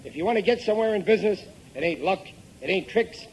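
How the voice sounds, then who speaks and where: Blustering middle-aged working-class dad, high voice with big swoops, gullible and cocky, old radio sitcom. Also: male voice, expressive, fast, a little hiss or hum, rough recording. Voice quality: exclamatory, ungrammatical working-class speech. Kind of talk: 1950s radio comedy acting. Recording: vintage 1950s radio recording.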